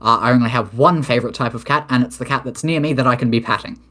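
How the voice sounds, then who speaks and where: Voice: medium pitch, fast talker, a bit bright. A speaker taking submitted questions in a mock-formal political address, reading official statements with casual asides. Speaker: head of government of an online role-play nation.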